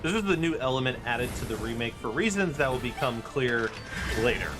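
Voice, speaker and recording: A man's voice: medium pitch, animated, a little fast. A man giving casual, playful live gameplay commentary as a speedrunner, with game music behind him. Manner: playful, chatty, theatrical asides. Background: game music and sound effects.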